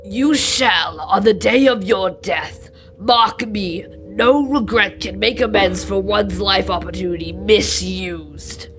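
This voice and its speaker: A woman's voice: medium pitch, takes it slowly, fairly bright tone, soft background music. A young woman acting a doomed, mournful male ghost, solemn and portentous, in an old-time radio drama. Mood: anguished, grave. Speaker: student actor.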